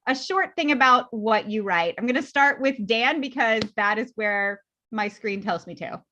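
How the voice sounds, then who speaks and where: A woman's voice: fairly high pitch, expressive, normal speed, bright, forceful tone, slight background noise. A woman from the US moderating a panel over a video call in a chatty, upbeat way. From United States. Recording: slightly dull lo-fi audio.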